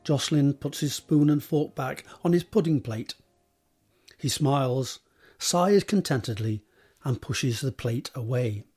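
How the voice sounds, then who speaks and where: A man reading scripted scene directions as a narrator, measured and descriptive, with deliberate pauses. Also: medium pitch, a little mellow, slightly rough, soft background music.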